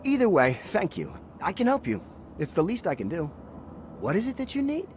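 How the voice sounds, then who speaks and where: An earnest freed captive. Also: male voice, high-pitched, huge pitch swings, brisk, darkish tone, a little hiss or hum. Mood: grateful. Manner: earnest and thankful. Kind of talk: video game voice acting.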